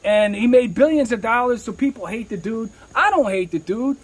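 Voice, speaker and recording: A man's voice: very high voice, lively intonation, normal speed. A man talking candidly in an interview as a rapper, with slangy, streetwise speech peppered with swearing.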